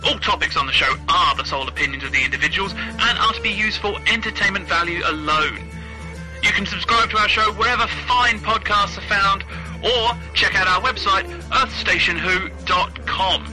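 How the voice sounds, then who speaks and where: A man's voice: sits high, moderate pace, low-quality recording. A man reading a scripted podcast outro announcement over music.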